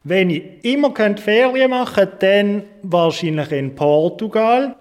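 A man's voice: sits high, expressive, measured pace. A man, a guest giving plain, matter-of-fact answers in a casual interview. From Switzerland. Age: 20s.

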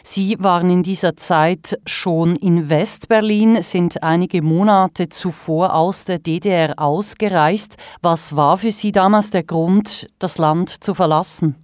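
A woman's voice: lowish voice, a little slow, hardly any pauses, darkish tone, dry recording, studio quality. A woman interviewer putting prepared questions in formal, carefully phrased sentences.

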